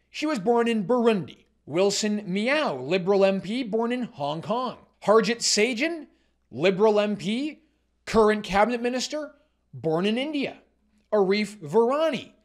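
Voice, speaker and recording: A man with a noticeably high-pitched voice delivers political commentary as a presenter. He speaks deliberately, breaking his points into short, emphatic phrases and stating his opinions directly.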